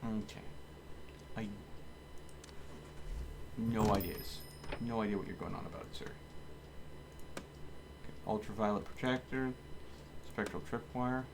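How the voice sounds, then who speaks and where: A man's voice: on the low side, a little slow, creaky, long pauses, noisy background. A man giving casual live game commentary, thinking aloud and talking to his viewers. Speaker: video game live streamer.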